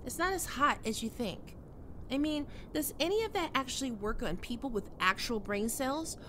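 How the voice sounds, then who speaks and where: A woman's voice: high voice, lively intonation, moderate pace, fairly bright tone, slight background noise. A woman playing a skittish, defensive young catgirl roommate in an ASMR roleplay, stammering and prickly to hide her fear. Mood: prickly, flustered.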